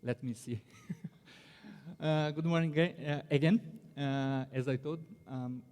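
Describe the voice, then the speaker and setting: A man from Brazil, a native Portuguese speaker, giving a conference presentation in English with a Brazilian accent, halting with many fillers and restarts. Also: medium pitch, steady tone, a little mellow, clear, resonant tone, big-room sound. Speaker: conference panelist. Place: conference hall.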